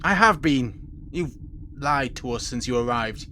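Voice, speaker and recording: A man's voice: medium pitch, expressive, a little slow, bright, forceful tone, a little hiss or hum. An actor playing an older male research leader, accusing and indignant, in a sci-fi audio drama. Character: angry, defensive older male principal of research crew.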